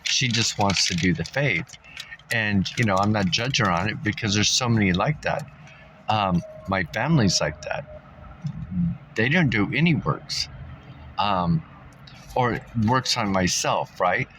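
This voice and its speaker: A man with a very low voice talks on in a loose, wandering monologue, full of ums and restarts. He sounds congested from dust and clears his throat now and then. Faint background ambience sits under the recording. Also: lively intonation, measured pace, a bit bright, a little hiss or hum. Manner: meandering, thinks aloud with many fillers. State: congested, coughing. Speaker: vlogger. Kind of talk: rambling casual monologue. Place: sitting in a dusty spot, maybe in a car.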